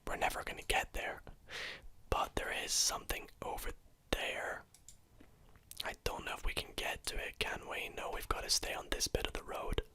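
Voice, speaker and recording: A host whispering gameplay commentary, softly musing and thinking aloud while exploring a map. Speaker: video host playing a geography guessing game.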